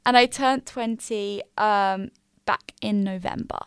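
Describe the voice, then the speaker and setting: A woman of twenty, hosting a casual solo podcast in a small quiet room, candid and upbeat with slangy asides. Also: medium pitch, slow, clean tone, no room sound, clean studio sound. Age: 20s. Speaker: podcast host. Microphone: studio mic.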